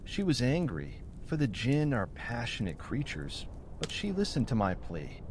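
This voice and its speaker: A man's voice: mid-range voice, huge pitch swings, measured pace, background noise. A man reading a scripted bedtime tale in a storybook narration style.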